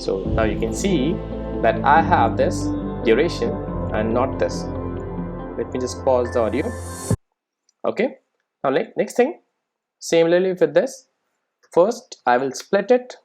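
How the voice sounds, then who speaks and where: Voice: medium pitch, animated, unhurried, pauses often, over music. A programming instructor giving a casual step-by-step screen-recorded tutorial, often checking in with "right?" and "okay".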